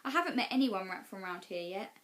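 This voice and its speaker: A woman's voice: medium pitch, expressive, a little fast, fairly bright tone, natural voice. A woman voicing a lonely, eager young girl in a children's storybook, earnest and keen to please. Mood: pleading. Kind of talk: children's storybook character dialogue.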